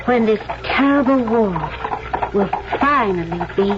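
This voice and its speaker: A woman's voice: a little high, animated, measured pace. An actress playing a demure, tender young lady in a 1970s radio drama. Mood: tender, shy. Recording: dull, noisy vintage radio recording.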